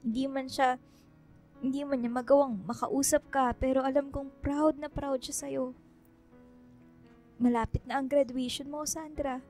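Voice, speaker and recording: A woman's voice: high-pitched, little pitch movement, unhurried, long pauses, darkish tone, soft background music. An actress playing a loving, worried mother in a Filipino radio drama, speaking in a pleading, soothing, emotional way with a Filipino accent. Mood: pleading, encouraging. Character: loving, worried mother urging her grieving daughter to stay strong.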